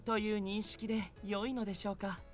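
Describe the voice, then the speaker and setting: A man's voice: high-pitched, level tone, rapid-fire, natural voice. An actor playing a polite, teasing man in a Japanese visual novel. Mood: courteous, pleased. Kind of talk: Japanese game voice acting. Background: game music.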